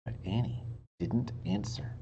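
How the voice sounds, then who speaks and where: A woman with a very low voice reads a storybook for children at a slow pace. Her delivery is instructive and expressive, and the recording sounds a little muffled. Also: gravelly. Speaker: read-aloud teacher for children. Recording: muffled sound with faint noise.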